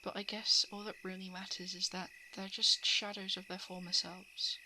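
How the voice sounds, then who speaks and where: A woman plays a female shapeshifting monster in an ASMR roleplay, guarded and coolly predatory. Her pitch is average for a woman, and she slips into hushed asides. Crickets and frogs sound clearly behind her. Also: level tone, normal speed, poor audio. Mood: confused, coolly menacing. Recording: clear background noise of night insects, frogs. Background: crickets, frogs and night insect ambience.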